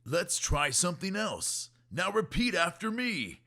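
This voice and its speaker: A man's voice: high-pitched, very animated, measured pace, pushed and bright, pitched above the performer's own voice, exaggerated voice. A man voicing an encouraging friend in a children's storybook, coaching a boy with emphatic urging.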